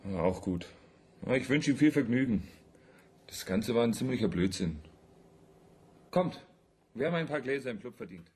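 A brash young man in a radio drama, impatient and sneering. His voice is husky and jumps around in pitch as he talks bluntly. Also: expressive, normal speed, long pauses, a little mellow. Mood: dismissive. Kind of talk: German radio drama acting.

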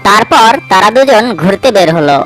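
A woman's voice: mid-range voice, animated, moderate pace, a bit bright, faint music behind, poor audio. A woman narrating a scripted children's cartoon story.

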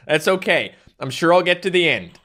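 A young man from Newfoundland hosting a casual let's-play, excitable, yelping and joking at the game. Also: on the high side, moderate pace. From Newfoundland, Canada. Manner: excitable, yelps and jokes at the game. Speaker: gaming channel host. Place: home recording room.

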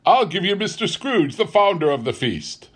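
A mild Victorian family man from a storybook, played by a man reading aloud. He is kindly and earnest, lifting his pitch well above plain narration with lively movement. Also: moderate pace, breathy edge, exaggerated voice. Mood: hearty.

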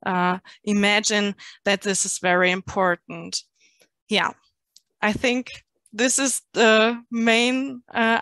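A woman panelist on a video call talks slowly and hesitantly, filling her pauses. Her voice sits at a middle pitch, and the sound is close and dry. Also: a bit bright, no echo, poor audio. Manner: slow, hesitant with fillers. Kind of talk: online panel discussion.

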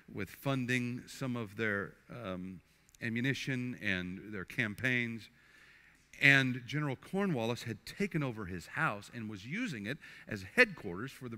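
An older man, a pastor, preaching and then praying at the altar in a church sanctuary, earnest and halting, often restarting his words. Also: medium pitch. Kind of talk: sermon and altar prayer. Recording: faint guitar music underneath. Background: soft guitar music.

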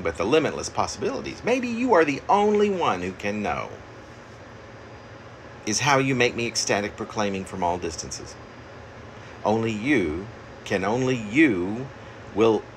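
A man reading his own poem aloud, haltingly, repeating phrases like an incantation, recorded on a phone in a room at home. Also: lowish voice, a bit bright, slight background noise. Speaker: poet reading own work. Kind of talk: poetry reading. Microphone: phone at arm's length.

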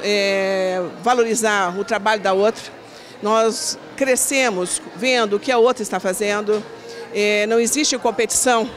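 Earnest middle-aged woman in an event interview. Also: mid-range voice. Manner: earnest, repetitive listing, with small restarts. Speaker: business committee coordinator. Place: indoor event hall. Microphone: handheld interview mic.

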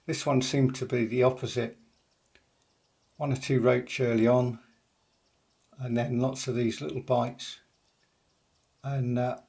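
Gravelly older man narrating calmly. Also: mid-range voice. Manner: unhurried, matter-of-fact, with frequent pauses and "uh". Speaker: angler vlogger. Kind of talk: calm voice-over narration of a fishing trip.

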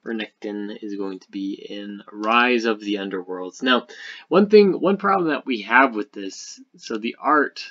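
A man giving casual, unscripted gaming commentary as a video host, speaking haltingly as he thinks aloud. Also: lowish voice, a bit bright, dull audio.

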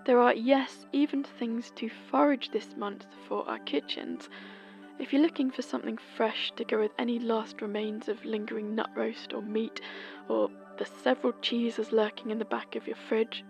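Breathy British woman narrating an almanac. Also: a little high, darkish tone. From Britain. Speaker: podcast host. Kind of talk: scripted seasonal almanac narration.

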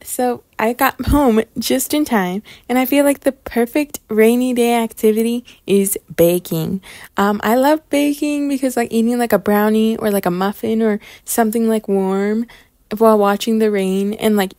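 A woman's voice: a little high, normal speed, a little mellow, no echo. A young woman narrating a vlog casually, in a chatty, informal way full of filler words. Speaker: vlogger.

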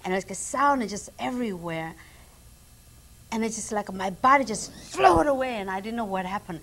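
Korean-accented lady, mid-pitched, broken english, acts out her story, tv studio lapel mic. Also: lively intonation, moderate pace, a bit bright, a little hiss or hum. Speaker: guest. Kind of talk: casual chat. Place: TV studio set decked with flowers.

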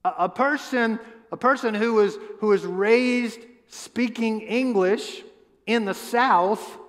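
A man's voice: high voice, slow. A man in his fifties preaching a conversational sermon on a church stage through a headset mic, asking the crowd questions and often restarting his words. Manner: animated, gestures a lot while pacing the stage. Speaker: pastor. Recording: long hall reverb.

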